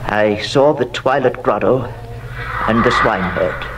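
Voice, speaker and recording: An actor playing an older gentleman narrator sinking into madness in a horror audio drama, speaking in literary phrases and halting, repeated words. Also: mid-range voice, distant mic, very noisy, lightly acted. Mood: brooding dread.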